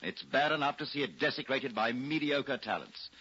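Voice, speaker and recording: A man's voice: medium pitch, animated, normal speed, pushed and bright, muffled recording, a little hiss or hum. A man playing a pompous, waspish newspaper drama critic in florid, condescending 1940s radio acting. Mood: self-righteous. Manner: florid, condescending, theatrical delivery. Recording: band-limited old radio broadcast.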